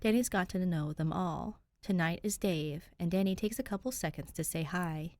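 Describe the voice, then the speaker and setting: A woman narrates a story aloud in a fairly low voice, expressive and close to the mic. The sound is dry, with no room echo. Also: normal speed. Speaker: fanfic podfic reader. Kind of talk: audiobook narration.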